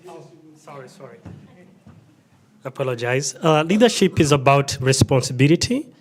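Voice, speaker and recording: A middle-aged man from Rwanda introduces himself in a short speech. He is a native Kinyarwanda speaker with a mid-pitched voice. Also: lively intonation, moderate pace. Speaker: refugee resettlement worker introducing himself.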